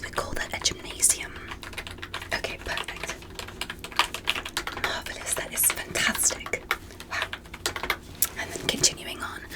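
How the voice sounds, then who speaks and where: A woman voicing a gentle, curious alien doctor in an ASMR medical roleplay, with a breathy whisper and careful, encouraging, formal clinical phrasing. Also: natural voice, poor audio. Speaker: ASMR performer.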